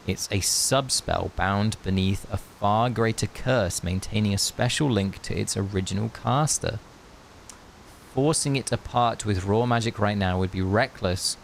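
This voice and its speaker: Laid-back, low-voiced male streamer. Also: gravelly. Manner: laid-back, matey, jokey. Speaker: game livestreamer. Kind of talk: casual chat and read-aloud game commentary. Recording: faint hiss.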